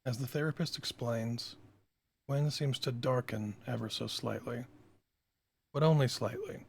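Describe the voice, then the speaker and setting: A man with a raspy, creaky voice, informal and self-deprecating, reading stage directions as the writer-director at a table read. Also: mid-range voice, a little slow. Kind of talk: screenplay table read narration.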